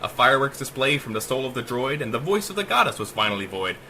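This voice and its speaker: A man's voice: mid-range voice, sing-song, quick pace, pushed and bright, a little hiss or hum. A man narrating a rhyming short story as a dramatic audiobook, with theatrical, emphatic delivery. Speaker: author reading own short story.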